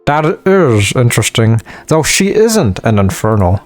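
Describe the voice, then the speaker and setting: A man reading a wise male mage's game dialogue aloud in a measured tone. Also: mid-range voice, big pitch swings, dry recording, faint music behind.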